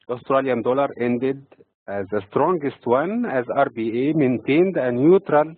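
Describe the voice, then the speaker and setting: A man from Egypt with an Egyptian accent reads prepared financial market commentary in a measured, halting way, breaking phrases mid-sentence. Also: medium pitch, no room sound. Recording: tinny, phone-like narrow-band audio.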